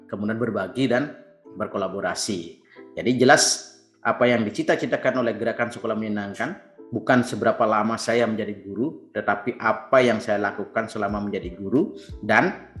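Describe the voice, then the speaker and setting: A man in his fifties, a teacher, earnestly presenting to fellow educators and clearing his throat often. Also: fairly low pitch, echoey room. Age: 50s.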